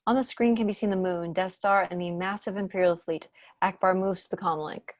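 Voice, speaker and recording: A woman's voice: fairly low pitch, fairly even intonation, a little fast, no room sound, studio quality. Young woman reading stage directions. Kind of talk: scripted narration at a table read. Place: room at home, video call.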